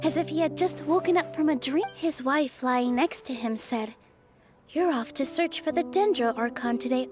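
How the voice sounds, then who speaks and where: Casting: devout young dancer girl for a game, high and gentle, earnest storyteller, music underneath. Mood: reverent. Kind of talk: video game character dialogue. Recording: music behind the voice.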